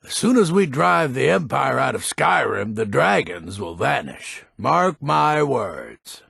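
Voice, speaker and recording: An actor playing a grumpy, proud old Nordic lord in a fantasy video game, with a gravelly, raspy voice and a blustering manner. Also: male voice, medium pitch, sing-song, a bit bright, dry recording, studio quality, heavily acted. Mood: fervent. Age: older. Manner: grumpy, blustering, slow and weighty. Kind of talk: video game character voice acting.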